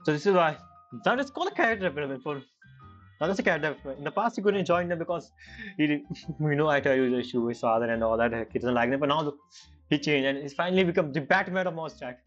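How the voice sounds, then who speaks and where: A woman's voice: sits low, expressive, racing. A woman who is not a native English speaker, giving casual, hesitant, reactive commentary as she streams a game. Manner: chatty, reactive, hesitant phrasing. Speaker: gaming streamer. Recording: slightly dull mic, game music behind.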